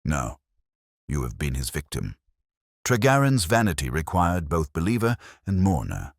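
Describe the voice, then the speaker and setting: A man's voice: rumbling low, big pitch swings, normal speed, no echo, clean studio sound, heavily acted. A man voicing a keen, commanding, rational Victorian detective in an audiobook, speaking formally and precisely. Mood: calm, certain. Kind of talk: audiobook character dialogue.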